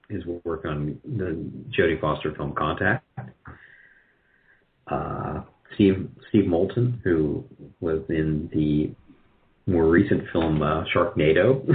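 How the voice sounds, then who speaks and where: A man being interviewed as a guest, talking casually and hesitantly, with many filled pauses. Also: a bass voice. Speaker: radio drama producer, interview guest. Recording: muffled, lo-fi call audio.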